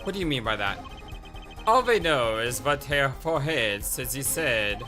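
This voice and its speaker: Guy doing a smug rockstar prosecutor, slow lazy drawl, lively pitch, game music under it. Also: lightly acted. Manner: slow, drawling, self-satisfied. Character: smug showy male rock-star prosecutor.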